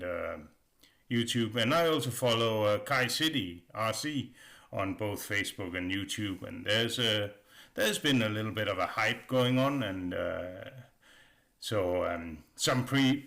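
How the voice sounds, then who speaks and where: A man's voice: on the low side, pushed and bright. A man giving a casual voice-over review as a hobbyist, rambling along hesitantly and often repeating words.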